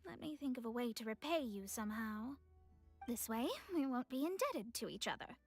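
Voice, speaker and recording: A woman's voice: on the high side, big pitch swings, moderate pace, faint music behind. A woman voicing a proud young female astrologist in a fantasy video game, speaking in a poised, formal, theatrical way. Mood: proud, composed.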